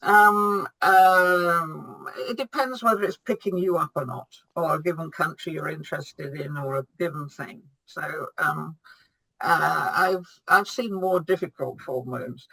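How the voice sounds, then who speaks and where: A woman's voice: sits low, measured pace, pushed and bright, dry recording, rough recording. A woman astrologer answering audience questions over a video call, hesitant, with many ums and restarts. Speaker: guest astrologer.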